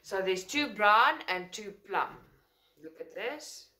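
A woman's voice: medium pitch, lively intonation, slow pace, sharp tone. Woman, South African accent, native Afrikaans, chatty, self-correcting, indoors at a table. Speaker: craft channel host. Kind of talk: casual unboxing talk to camera. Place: indoors at a table, filming overhead.

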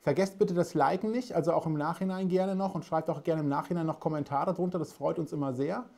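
A man's voice: a little high, fast talker, gravelly, darkish tone. A man in his thirties hosting a casual live stream from a studio, chatty and talking straight to the viewers. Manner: friendly, chatty, addresses viewers directly. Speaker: host. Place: studio with dark backdrop.

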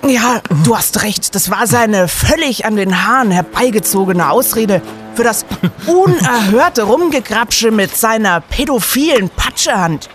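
A man's voice: high voice, animated, unhurried, fairly bright tone, faint music behind. A man playing a keen young student detective in an audio drama, precise and wordy. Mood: puzzled, indignant. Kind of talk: German audio drama acting.

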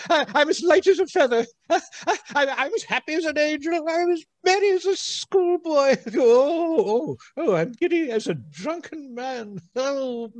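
An actor playing an old repentant miser in a radio play, with fervent, theatrical, exclamatory delivery. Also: male voice, very high voice, dry recording. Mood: giddy.

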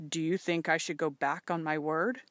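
Woman doing a farm pa for an audiobook, firm n calm, measured, strict but fair, dry sound. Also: low voice, no room sound, lightly acted. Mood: calm, gentle. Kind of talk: audiobook character voice.

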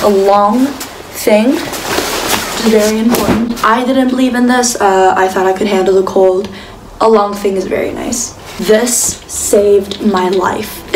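A woman's voice: fairly high pitch, reverberant, far from the mic, a little hiss or hum, low-quality recording. A young woman vlogging casually from her dorm room, talking to a camera on the desk. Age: young adult. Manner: bubbly, excitable, squeals outdoors. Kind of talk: casual vlog chat.

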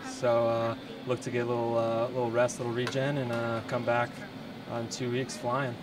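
A man's voice: mid-range voice, monotone, normal speed. A man in his twenties, a soccer player, giving a hesitant, understated postgame interview into a handheld microphone. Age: 20s. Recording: noisy, slightly distant mic.

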